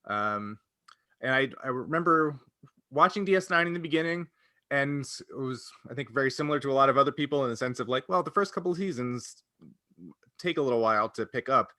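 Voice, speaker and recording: Guy podcast co-host telling personal stories, kinda rambly, bright voice, really dry close sound. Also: medium pitch, brisk, no room sound. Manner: rambling, hesitant, self-interrupting. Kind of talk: casual chat, personal reminiscing.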